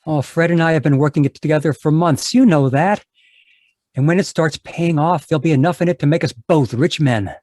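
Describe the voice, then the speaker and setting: A man's voice: on the high side, fairly quick, darkish tone, dry recording, clean studio sound, lightly acted. A man playing an earnest, pleading young gold prospector in love, in old-time radio drama style. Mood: earnest, defensive.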